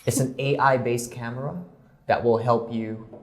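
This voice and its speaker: A man's voice: medium pitch, moderate pace. Friendly male interview host. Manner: upbeat opener, then relaxed questioning. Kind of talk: hosting an interview.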